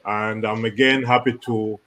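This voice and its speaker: A man's voice: fairly low pitch, level tone, unhurried, sharp tone, slight background noise. A Ugandan man, a human rights activist, introducing himself politely on a panel.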